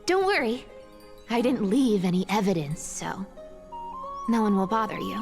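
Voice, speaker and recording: A woman's voice: mid-range voice, sing-song, normal speed, darkish tone, faint music behind. An actress playing a brash, sly young female thief in a video game, sardonic and playful. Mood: sly, impatient.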